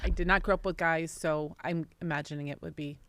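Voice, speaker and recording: A woman's voice: deep voice, steady tone, a little fast, a bit bright, dry recording. A woman hosting an interview podcast, asking questions in a friendly, chatty way. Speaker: podcast host.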